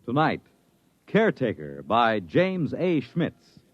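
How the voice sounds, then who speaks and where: A man's voice: mid-range voice, wildly swooping pitch, slow. A man announcing a radio drama in a portentous, theatrical 1950s style. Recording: muffled vintage 1950s radio recording.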